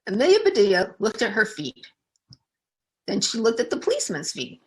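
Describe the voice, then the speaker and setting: A woman narrates a children's story as a storyteller. Her pitch is medium for a woman and moves around in a lively way, with expressive, deliberate phrasing meant for young listeners. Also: low-quality recording.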